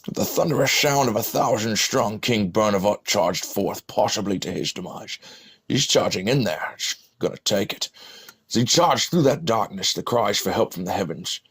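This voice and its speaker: A man doing a parody of an old Scottish film star, narrating a mock-epic fantasy battle for a video game in a husky, gravelly voice with halting, muttered asides. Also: lowish voice, expressive, fairly bright tone, heavily acted. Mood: dramatic, wry. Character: parody elderly Scottish screen legend narrating fantasy battle, wryly amused.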